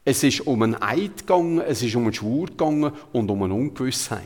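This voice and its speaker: A man's voice: mid-range voice, animated, fast talker. A man with a Zurich Swiss German accent preaches a sermon in a conversational, emphatic way, retelling Bible passages. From Zurich, Switzerland. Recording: long hall reverb.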